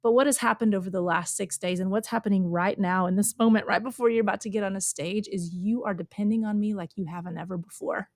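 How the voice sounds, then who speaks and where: A woman's voice: mid-range voice, fairly even intonation, quick pace, few pauses, dry recording. Chatty woman host, dry close sound. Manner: warm storytelling, frequent "like" and affirming murmurs. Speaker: podcast host. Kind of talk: casual faith conversation.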